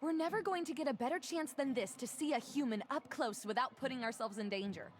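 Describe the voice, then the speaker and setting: A woman's voice: sits high, fairly quick, slight background noise, lightly acted. A woman playing an adventurous, polite young heroine in a visual novel. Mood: coaxing. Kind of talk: visual novel character acting.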